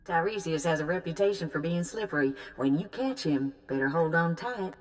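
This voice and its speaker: A woman voices a handler briefing an agent in a video game. Her voice is deep for a woman, and her manner is brisk, knowing and instructive. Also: expressive, close to an everyday voice. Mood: businesslike, knowing. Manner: businesslike, instructive. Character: brisk female mission handler giving briefings.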